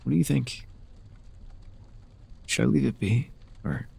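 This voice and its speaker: A man playing a forgotten, sorrowful former god in an ASMR audio roleplay, with a breathy, gravelly voice and a halting, brooding delivery. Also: medium pitch, dark tone, heavily acted. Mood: mournful, brooding.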